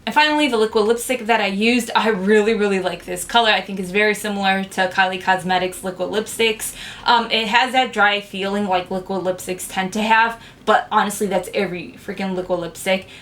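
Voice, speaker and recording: A woman's voice: medium pitch, steady tone, brisk, fairly bright tone. A young woman chatting frankly to camera as she reviews cheap makeup, with lots of "like" fillers. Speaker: beauty vlogger.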